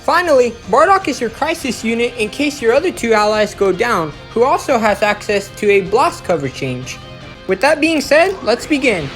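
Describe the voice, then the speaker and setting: A woman giving an instructional, step-by-step voice-over as the narrator of a gameplay guide. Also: on the high side. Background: music.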